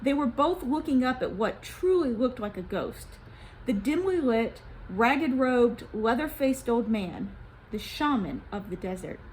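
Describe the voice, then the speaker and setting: Woman doing story time for kids, high expressive voice, dramatic reading, faint noise in the background. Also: unhurried, rough recording. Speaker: children's story reader.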